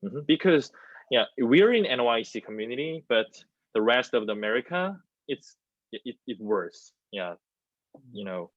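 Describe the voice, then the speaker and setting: A man's voice: medium pitch, very animated, normal speed, no room sound. A man in his twenties, a non-native English speaker, talking hesitantly as a student panelist and searching for words on a dull video call. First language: not English. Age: 20s. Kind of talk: informal panel discussion. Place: plain room indoors. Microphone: wireless earbuds on video call.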